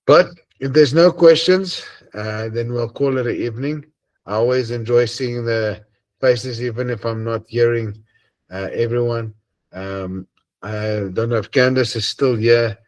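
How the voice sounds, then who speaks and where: A man with an Afrikaans accent and a deep voice, hosting an online group and chatting earnestly and hesitantly over a video call. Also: unhurried. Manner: hesitant, many ums and uhs, earnest. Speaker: host of an online assembly. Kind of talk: casual closing chat on a video call.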